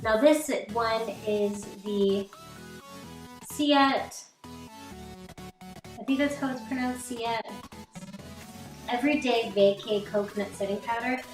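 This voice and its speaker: A woman's voice: fairly high pitch, slow, pauses often. Chatty young woman, roomy distant sound. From Canada. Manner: chatty, rambling, frequent "like". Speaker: vlogger. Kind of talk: casual chat while doing makeup. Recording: echoey room, distant mic, faint music.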